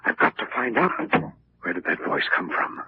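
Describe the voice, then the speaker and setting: An anxious, agitated gentleman in a 1940s radio mystery. His pitch swings widely as he talks. The sound is dull, as from an old broadcast. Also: sing-song, normal speed. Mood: frightened, agitated. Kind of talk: 1940s radio drama acting. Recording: muffled, noisy old radio recording.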